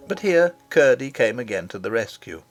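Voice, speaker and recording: A man's voice: medium pitch, very animated, moderate pace, faint music behind. A man reading scripted storybook narration as an audiobook narrator.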